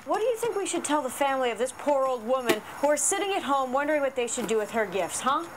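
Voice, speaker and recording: A woman's voice: sits high, big pitch swings, fast talker. A woman playing a stern, no-nonsense police officer in a comedy sketch, in a noisy clip. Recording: noisy film clip with outdoor ambience.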